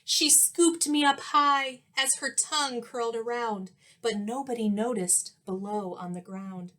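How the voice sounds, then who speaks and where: A woman reading a children's storybook aloud like a teacher, expressive and sing-song. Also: fairly high pitch. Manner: expressive, sing-song, pausing to ask listeners questions.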